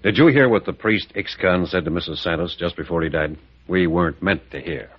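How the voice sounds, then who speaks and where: An actor in an old radio drama playing a tough, decisive, suspicious captain with clipped, urgent, plain-spoken delivery. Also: male voice, sits low, fairly quick, breathy edge. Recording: muffled old radio recording.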